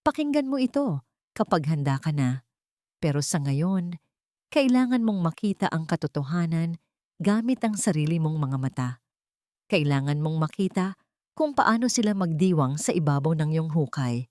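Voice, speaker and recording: An earnest doctor, protective and pressing, gives instructions, acted out by a woman storyteller. The voice is low and dark in a dry audio drama recording. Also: lively intonation, moderate pace, no room sound. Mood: urgent, grave. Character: urgent, protective doctor helping a betrayed patient.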